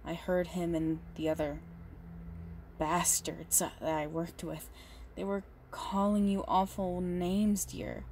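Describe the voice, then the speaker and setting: A woman performs a big, strong goth girlfriend in an ASMR roleplay, doting and unhinged. Her voice sits fairly low and she speaks very slowly and haltingly, with menace under the sweetness. There is some noise behind her. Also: fairly even intonation, a little mellow. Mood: tender, anxious. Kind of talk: intimate ASMR roleplay monologue.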